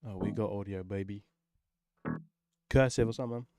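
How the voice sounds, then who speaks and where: Low-voiced guy chatting on a livestream. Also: normal speed, background noise. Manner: informal, muttering to himself, sweary. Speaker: livestream host, music maker. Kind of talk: casual livestream chat while working.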